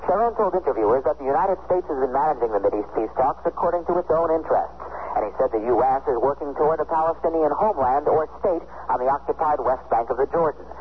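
A male foreign correspondent reading a scripted radio news report. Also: medium pitch. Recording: tinny phone-line report, faint noise.

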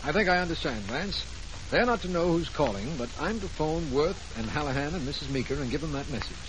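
An actor playing an earnest, businesslike district attorney in a 1940s radio drama, plain-spoken and full of questions. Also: male voice, fairly high pitch, lively intonation, a little fast, over music, close to an everyday voice, low-quality recording. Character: earnest, businesslike male district attorney, puzzled but cooperative. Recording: faintly hissy old radio recording.

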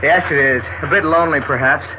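A man's voice: fairly high pitch, fast talker, background noise, natural voice. Guarded radio-drama husband, acted by a man, tinny. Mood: affable. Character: evasive, guarded husband with a dark secret. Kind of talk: 1940s radio drama acting. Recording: thin, tinny old radio recording.

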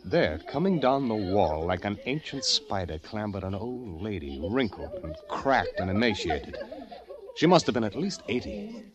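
An actor playing a nervous poet, telling his tale with halting intensity in a 1940s radio drama style. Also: on the low side, huge pitch swings, muffled recording, a crowd in the background. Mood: awed dread. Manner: hushed, deliberate, halting, intense. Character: nervous poet fleeing the world, haunted narrator. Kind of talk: 1940s radio acting, dramatic first-person narration. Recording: vintage radio drama with music behind. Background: music.

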